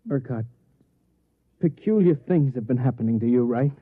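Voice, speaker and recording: Actor as jittery, paranoid American conspirator, rough-edged voice, thin vintage radio sound. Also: male voice, medium pitch, expressive, normal speed, lightly acted. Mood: earnest, conspiratorial. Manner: hesitant, secretive, earnest. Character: nervous, furtive, paranoid man whispering of conspiracy. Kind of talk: old-time radio drama acting. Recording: tinny, band-limited vintage radio recording.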